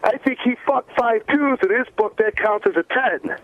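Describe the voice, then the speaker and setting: A man's voice: very high voice, moderate pace, phone-quality sound. A man calling a radio show by telephone, chatting casually. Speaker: radio call-in caller. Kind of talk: casual call-in chat.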